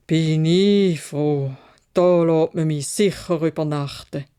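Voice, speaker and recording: Woman playing a humble, mellow Swiss German merchant father. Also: low voice, slow pace, clear, resonant tone. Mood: relieved.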